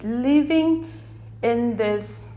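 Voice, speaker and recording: A woman from the Philippines whose first language is Tagalog, talking unscripted into a phone held in one hand on her podcast, gently and with many restarts as she searches for words. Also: fairly high pitch, extremely slow. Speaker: podcast host. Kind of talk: unscripted reflective podcast monologue. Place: unedited setting with background sound.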